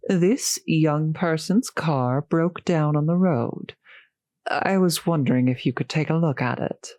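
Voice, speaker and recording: A woman playing a courteous, gentle centuries-old vampire host in a roleplay audio drama, speaking politely and slightly formally to the listener, with soft hesitations. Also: low voice, a little slow, dry recording. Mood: courteous, helpful. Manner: polite, softly hesitant, old-fashioned genteel phrasing.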